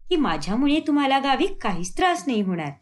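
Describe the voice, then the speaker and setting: A woman acts a young daughter-in-law in a cartoon moral story. She is dutiful and sensible, speaking politely and earnestly with varied pitch. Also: sing-song, fast. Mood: reassuring.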